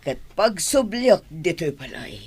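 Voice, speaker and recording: An actress playing a haughty, temperamental wealthy madam in a melodramatic radio-style drama. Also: female voice, fairly low pitch, very animated, a little slow, a little mellow, slightly rough, heavily acted. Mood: lonely, regretful.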